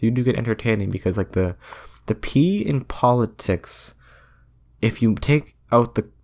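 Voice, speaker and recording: Rambling young man, low voice. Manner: rambling, self-deprecating, deadpan jokes with many restarts. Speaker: podcast host. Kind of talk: rambling solo podcast monologue.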